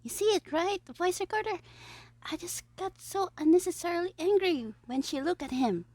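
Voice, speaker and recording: A woman's voice: extremely high pitch, normal speed, dry recording. A woman playing a mischievous, lovestruck young villain in an audio roleplay, scheming theatrically in a monologue. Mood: flustered, possessive. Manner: gleeful, swinging between exclamations and hushed asides.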